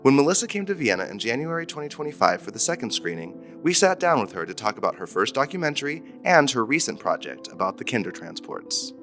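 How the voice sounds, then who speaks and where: A man narrates a scripted introduction in a measured, documentary style. His pitch moves lively up and down, and guitar music plays clearly under his voice.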